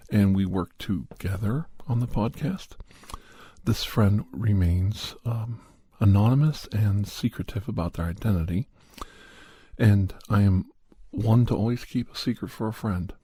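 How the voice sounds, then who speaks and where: A man hosting an ASMR podcast in a soft, breathy, husky voice with a mild head cold, chatting casually close to the microphone. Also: fairly low pitch, no echo. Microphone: studio condenser microphone, close.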